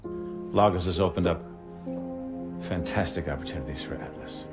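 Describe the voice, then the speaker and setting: A man playing a commanding, fatherly older military chief, speaking in a measured speech in a video game. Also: deep voice, over loud music. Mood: solemn, gracious. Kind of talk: video game cutscene acting.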